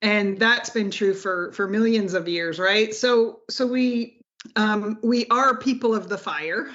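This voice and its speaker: A woman's voice: medium pitch, slow pace, fairly bright tone, lo-fi sound. A middle-aged American woman from Colorado, a professor talking in a casual interview, thoughtful and laughing often. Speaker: guest, engineering professor.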